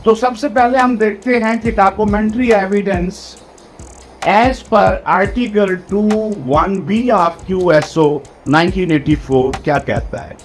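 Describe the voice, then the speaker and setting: A man lecturing on law for exam students, explaining deliberately and spelling out key phrases word by word, with English legal terms mixed in. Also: high-pitched, steady tone, soft background music.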